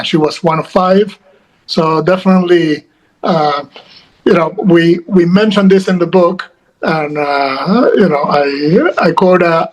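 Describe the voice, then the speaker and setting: Halting man with many fillers. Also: high voice, low-quality recording. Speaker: guest author. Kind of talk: conversational interview.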